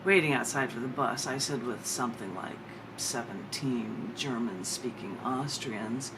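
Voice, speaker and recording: A woman with an American accent giving a live poetry reading, with theatrical, drawn-out emphasis on her words. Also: a bass voice. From United States. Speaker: poet. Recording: clear background noise.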